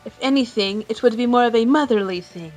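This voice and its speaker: A woman's voice: fairly high pitch, brisk, a little mellow, faint music behind, natural voice, poor audio. A woman voicing a stern, easily irritated female cat character in a fan-made cartoon question show. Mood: offended.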